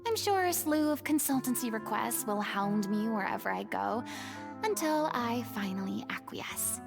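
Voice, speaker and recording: A woman's voice: fairly high pitch, animated, normal speed, gravelly. A woman voicing a young, theatrical former stage star in a video game, speaking in a poised, formal way. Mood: reflective. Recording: game audio with loud background music.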